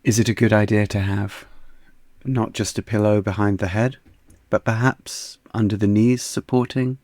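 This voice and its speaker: A man guiding a yoga nidra meditation in a soft, soothing, unhurried manner that sometimes sinks almost to a whisper. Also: on the low side, steady tone, no echo. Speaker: meditation guide.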